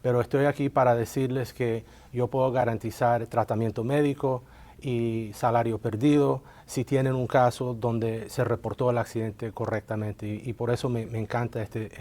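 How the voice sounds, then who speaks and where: A man's voice: medium pitch, flat delivery, normal speed, mellow. Hesitant man guest, Colombian-born, explains the law with frequent fillers. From Colombia, raised in Miami. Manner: hesitant, many filler sounds. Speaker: workers' compensation lawyer, guest. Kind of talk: interview answers.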